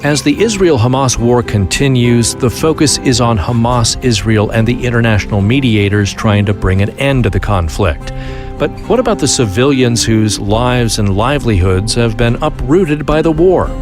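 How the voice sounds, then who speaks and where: Steady male radio news host over music. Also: medium pitch, normal speed. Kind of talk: scripted radio news intro.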